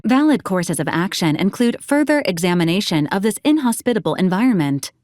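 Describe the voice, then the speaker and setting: A woman voicing a polite, literal robot companion in a video game, speaking in formal, clipped, deadpan advisories with dry caution. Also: mid-range voice, dry recording, lightly acted. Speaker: companion unit reporting to a commander.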